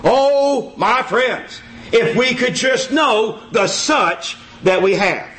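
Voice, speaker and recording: A man preaching an informal sermon in a church, folksy and self-mocking, repeating his phrases and exclaiming loudly. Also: very high-pitched, animated, slow, low-quality recording.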